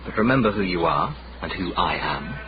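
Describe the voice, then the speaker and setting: A brisk, melodic gentleman muses with formal self-assurance in a period radio play. The recording is muffled and very hissy. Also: low-pitched, animated. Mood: composed. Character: musing, self-assured gentleman in 1790s Edinburgh. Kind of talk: period radio drama acting. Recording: muffled recording with heavy hiss.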